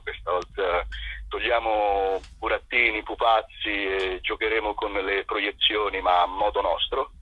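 A man chatting casually as an interview guest, a husky voice and a rambling, hesitant, jokey and self-deprecating manner. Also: on the low side, steady tone, measured pace. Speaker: interview guest, theatre company performer. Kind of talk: casual radio interview chat. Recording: dull, boxy, roomy phone-line sound.

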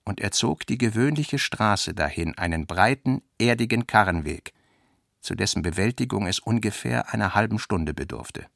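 A man reading literary narration for a radio play in a measured, carefully articulated way. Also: on the low side, lively intonation, gravelly, fairly bright tone, no echo, studio quality.

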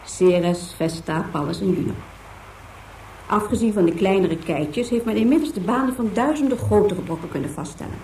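An actress voicing a formal, instructive information tape in scripted documentary style within a radio play. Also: female voice, mid-range voice, lively intonation, normal speed, a little mellow, slight background noise, natural voice. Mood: formal, informative. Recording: echoing, distant, music behind.

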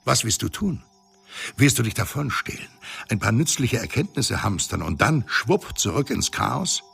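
An actor playing a gruff, cynical space-station veteran in a science fiction radio drama, blunt and scolding. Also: male voice, mid-range voice, very animated, moderate pace, fairly bright tone, faint music behind, exaggerated voice. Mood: stern, exasperated.

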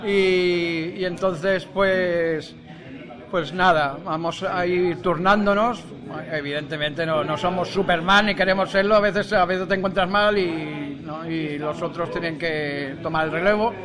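A man being interviewed casually about his radio show, talking haltingly and repeating phrases. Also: high-pitched, fairly even intonation, crowd noise.

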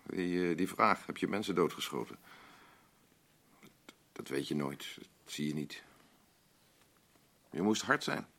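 An actor playing a blunt, rambling working-class husband in a radio drama, boastful, self-pitying and defensive. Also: male voice, sits low, animated, unhurried, creaky, long pauses, bright, forceful tone, heavily acted. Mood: defensive, brooding. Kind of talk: naturalistic radio drama dialogue.

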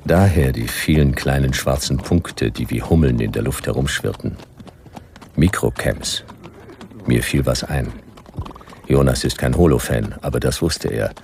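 An actor playing a laconic, weary private detective in a German radio crime drama, terse and deadpan. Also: male voice, a bass voice, unhurried, gravelly, a little hiss or hum, full character voice. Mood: dry, deadpan. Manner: terse, deadpan first-person narration.

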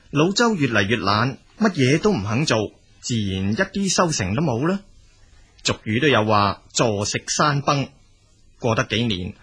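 A male storyteller reads scripted folk narration with a Cantonese accent. His voice is husky and sits at a medium pitch. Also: fairly bright tone.

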